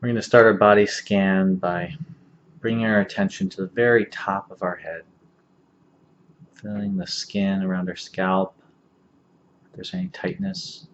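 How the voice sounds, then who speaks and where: A man with a low voice leads a guided body-scan meditation. His delivery is very level and gentle, leaving long pauses between phrases. The recording is somewhat dull and lo-fi. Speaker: meditation instructor. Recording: dull lo-fi audio, faint background noise.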